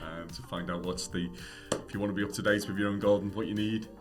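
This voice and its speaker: A man co-hosting a property podcast, upbeat, chiming in quickly. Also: lowish voice, fairly even intonation, soft background music. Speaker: co-host. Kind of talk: podcast interview.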